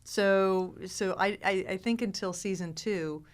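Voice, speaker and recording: A woman cast member discussing a show casually, with deliberate, emphatic phrasing. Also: medium pitch.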